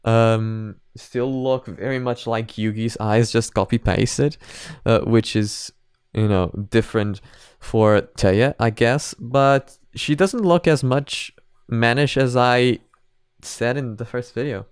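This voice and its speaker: A man's voice: mid-range voice, slow, clear, resonant tone, no echo, clean studio sound. A man giving casual reaction commentary as a video host, rambling and thinking aloud.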